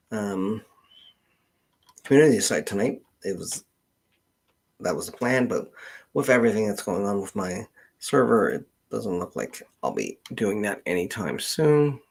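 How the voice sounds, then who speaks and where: A man hosting a casual livestream, talking in a halting, hesitant way and often trailing off. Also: on the low side, lively intonation, gravelly, husky. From Canada. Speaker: livestream host, genealogist.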